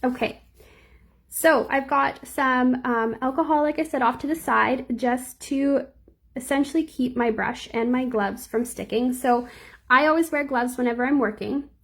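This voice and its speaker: Young woman nail educator, slightly squeaky, a bit gravelly, chatty live-tutorial delivery. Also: normal speed, a bit bright. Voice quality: a little squeaky. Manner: chatty, reads and answers viewer comments.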